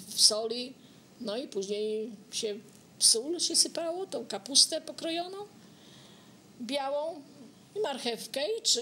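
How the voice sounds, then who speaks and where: A woman's voice: a little high, bright, forceful tone, a little hiss or hum. An older woman casually reminiscing as a local resident, explaining things step by step in colloquial speech. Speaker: local resident sharing memories.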